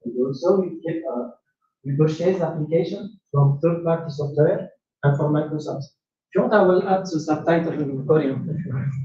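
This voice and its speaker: A man's voice: fairly high pitch, moderate pace, warm tone, distant mic. Echoey man presenting in a hall. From Tunisia. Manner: hesitant, many fillers and restarts, non-native phrasing. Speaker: conference speaker. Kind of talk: technical conference presentation. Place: conference room, large hall. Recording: echoey room mic from afar, dull.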